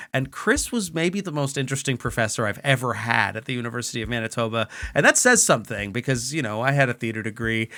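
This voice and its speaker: Man with lively, swooping intonation. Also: mid-range voice. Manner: rambling, repeats phrases as he goes. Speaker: podcast co-host. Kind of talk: casual chat, anecdote telling.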